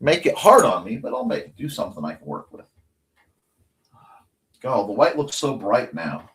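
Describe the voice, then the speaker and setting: A man's voice: medium pitch, huge pitch swings, moderate pace, fairly bright tone. A man hosting a casual drawing livestream, muttering and thinking aloud in fragments, with a slight speech impediment. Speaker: livestream host and artist.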